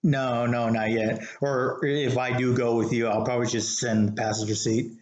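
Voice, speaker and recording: A man with a mid-pitched voice tells stories in a casual, meandering way, often stopping and restarting with plenty of "like"s. He is recorded indoors in a living room, with noticeable room echo around his voice. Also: little pitch movement, darkish tone. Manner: rambling and halting, peppered with "like". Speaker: show host, rideshare driver telling stories. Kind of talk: casual chat, storytelling. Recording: roomy, echoey living room.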